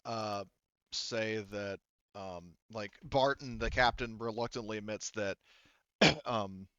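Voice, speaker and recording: A man narrating improvised tabletop roleplay as game master, halting and conversational, on slightly dull, dry audio. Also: fairly low pitch, sharp tone, clean tone, dry recording.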